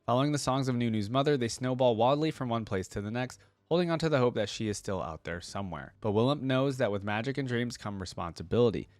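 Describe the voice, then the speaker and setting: A man hosts a reaction video, reading story text aloud with expression and adding casual, slangy asides. His voice sits at an ordinary middle pitch. The sound is close and dry, with very little room around it. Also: expressive, fast, hardly any pauses, dry recording.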